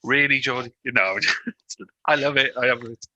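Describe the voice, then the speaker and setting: A man's voice: mid-range voice, normal speed, pushed and bright, no echo, lo-fi sound. A man with a Welsh accent hosting a podcast in a chatty, joking way, laughing often. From Wales. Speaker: podcast host. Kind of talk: casual podcast chat.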